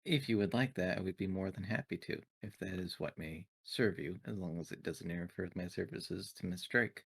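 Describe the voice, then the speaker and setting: A man playing a formal, deferential servant woman in a tabletop game, speaking politely and with measured words. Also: sits low, gravelly, husky, no room sound, exaggerated voice.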